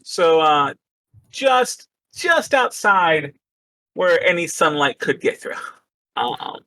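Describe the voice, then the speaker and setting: A man's voice: fairly high pitch, very animated, takes it slowly, pushed and bright, no echo. A man running a tabletop horror game, setting the scene in a loose, rambling way full of fillers. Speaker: game master. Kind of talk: casual tabletop game narration.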